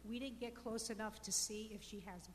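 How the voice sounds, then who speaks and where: A woman's voice: a little high, steady tone, brisk, crowd noise. A woman resident giving a matter-of-fact report at a community meeting. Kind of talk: casual meeting remark. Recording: reverberant hall, faint background.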